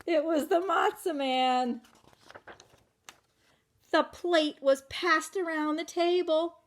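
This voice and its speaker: A woman reading a children's picture book aloud in an animated way, stopping to ask listeners questions. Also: high voice, slow. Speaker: children's storytelling teacher.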